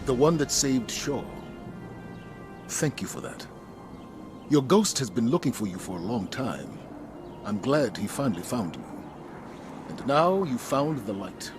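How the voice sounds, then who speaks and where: Solemn, expressive military commander. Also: male voice, mid-range voice, unhurried, pauses often. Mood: solemn, grateful. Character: dignified male military commander, solemn and dutiful. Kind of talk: video game dramatic dialogue. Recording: game music behind the voice.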